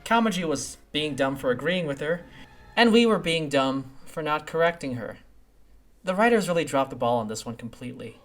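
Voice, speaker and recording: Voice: mid-range voice, animated, moderate pace. A reviewer giving casual, slangy video commentary on a game story, sarcastic and exasperated as they rant.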